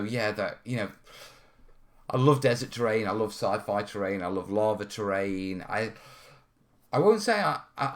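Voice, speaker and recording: A man from North Wales hosting a live hobby stream, chatting casually and answering questions in an informal, rambling way. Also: lowish voice. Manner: informal, rambling, often checking in with "yeah" and "you know". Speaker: host of a terrain-modelling hobby stream. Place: studio.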